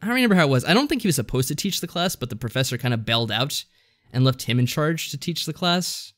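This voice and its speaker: A man speaks in a casual, conversational way at a middle pitch. He feels his way through what he is saying, hesitant and loosely rambling, as a podcast co-host does in an informal discussion. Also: lively intonation, quick pace, a bit bright. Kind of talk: casual discussion recapping a visual novel.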